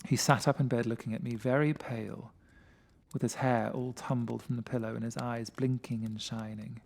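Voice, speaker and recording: A man's voice: medium pitch, level tone, normal speed, creaky, darkish tone. Man reading a gothic tale. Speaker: story reader. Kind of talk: dramatic first-person gothic narration.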